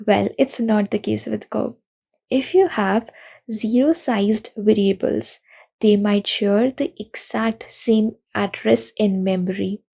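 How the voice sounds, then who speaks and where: A young woman giving a recorded conference talk, explaining programming pitfalls in an earnest, steady way. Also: medium pitch, slow pace, vocal fry. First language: not Russian. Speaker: conference speaker.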